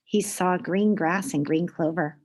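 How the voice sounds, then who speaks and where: A woman reading aloud to children in an expressive storytelling style, with deliberate pauses and emphasis. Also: lowish voice.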